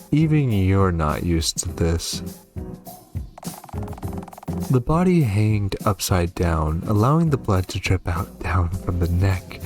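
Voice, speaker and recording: A man giving commentary on a horror game, reacting and reading on-screen text aloud. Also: low-pitched. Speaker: gaming video host. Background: game music.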